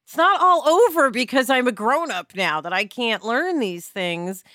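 A woman with a bright, forceful, fairly high voice in casual podcast conversation. She sounds earnest and encouraging, like a co-host thinking out loud with friends. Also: animated, measured pace, no room sound, studio quality.

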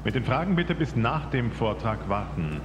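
A man's voice: medium pitch, very animated, normal speed, noisy background, lightly acted. An actor playing a formal, upbeat space agency spokesman making an announcement in an audio drama.

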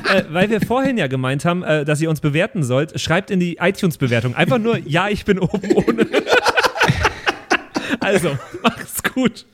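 Male co-host, fairly high and animated, playful, informal podcast banter. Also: normal speed. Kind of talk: casual chat.

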